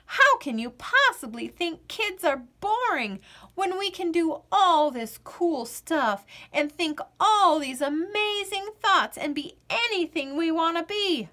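Woman putting on an excitable high-pitched little girl. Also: slow pace, exaggerated voice. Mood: indignant. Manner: excitable, emphatic, childlike. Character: energetic, indignant, imaginative little girl.

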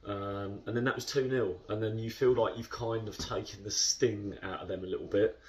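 Low-voiced captain, chatty and measured. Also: male voice, steady tone, far from the mic. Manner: chatty, measured, lots of fillers. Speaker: football club captain. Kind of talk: post-match interview. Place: indoor club room.

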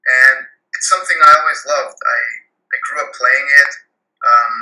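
A man's voice: mid-range voice, moderate pace, bright, forceful tone. A young man from Romania answering casually as an interview guest over a video call. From Romania, raised in Austria, Germany and New York. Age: young adult. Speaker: guest, graphic artist. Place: home room with desk, indoors. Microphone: video call, computer at desk distance. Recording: roomy, tinny video-call audio.

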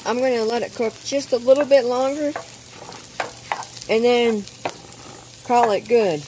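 A woman hosting her own cooking channel, explaining step by step outdoors at a grill, halting often with ums. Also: a little high, noisy background. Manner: unhurried, hesitant with frequent ums. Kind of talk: casual cooking demonstration.

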